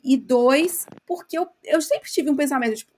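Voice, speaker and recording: A woman from Brazil talking casually in a slightly high voice. She thinks out loud in long, winding sentences and sounds reflective. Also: moderate pace. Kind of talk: casual chat.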